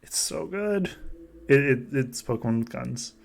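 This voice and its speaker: A man's voice: medium pitch, expressive, measured pace, creaky. A man hosting a podcast game in casual, joking chat, swearing freely as he goes. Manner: sweary, jokey. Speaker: podcast host running a fan survivor game.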